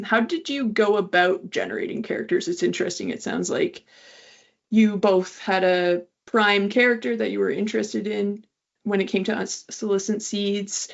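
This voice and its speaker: A woman with a gravelly, mid-pitched voice asks questions as an interviewer. Her style is casual and exploratory, and the audio is somewhat dull. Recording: dull, band-limited audio.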